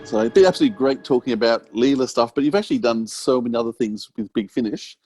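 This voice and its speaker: A man's voice: mid-range voice, fast talker. A man with an Australian accent co-hosting a podcast in a casual, conversational interviewing style.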